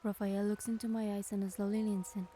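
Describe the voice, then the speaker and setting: An actress playing a gentle, affectionate young heroine, sweet and wistful, speaking and narrating in a romance game. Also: female voice, medium pitch, level tone, brisk, a little hiss or hum, lightly acted. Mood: soft, dreamy. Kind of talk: romance game voice acting and first-person narration.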